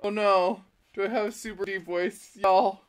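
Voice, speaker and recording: A woman's voice: mid-range voice, fairly even intonation, normal speed. A woman streaming live from her art studio, laughing often and fumbling with the setup as she chats. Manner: self-deprecating, giggly, fumbling with the tech. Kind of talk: casual live stream chat. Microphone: laptop, DSLR and chest-mounted webcam.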